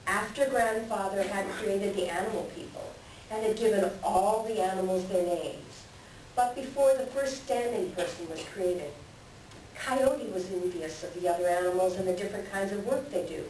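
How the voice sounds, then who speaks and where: A woman voicing a wise, patient male eagle elder in a readers theater, measured in the way a storyteller reasons. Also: mid-range voice, a little mellow, lightly acted. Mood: storytelling, earnest. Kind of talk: readers theater stage reading. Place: library room, recorded from a distance. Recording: very echoey library room, distant, hissy.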